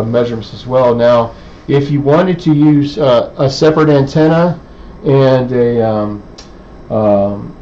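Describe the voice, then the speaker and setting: A man presents a hands-on electronics how-to without a script. His pitch is middling and his delivery hesitant, as if working out each sentence while he goes. The sound is dull and lo-fi, with clear background noise. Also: warm tone. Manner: halting, hesitant, thinking aloud. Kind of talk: unscripted technical demonstration. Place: indoor electronics workbench.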